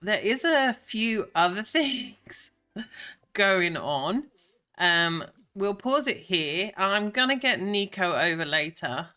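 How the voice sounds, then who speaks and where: A voice, a bit croaky and stuffed up from a cold, talks over a life-sim game. The delivery is slow and unhurried, with a bright, clear edge. The speaker rambles on easily, with plenty of ums and restarts. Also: mid-range voice, animated. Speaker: Sims gameplay creator. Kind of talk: casual gameplay commentary.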